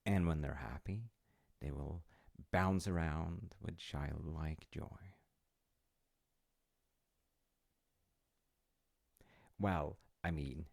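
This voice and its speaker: A man playing a gentle, loving, wise dad in an intimate audio roleplay, speaking tenderly and hesitantly in short phrases with a gravelly, breathy voice. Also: rumbling low, slow, dry recording, studio quality, full character voice. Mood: warm, tender. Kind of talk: intimate audio roleplay, improvised-sounding storytelling.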